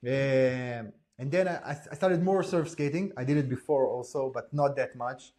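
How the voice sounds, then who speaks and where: A young adult man from Israel chats as a podcast guest. He talks in a relaxed, halting way, full of fillers and repeated yeahs. His voice sits in an ordinary middle pitch. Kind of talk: casual chat.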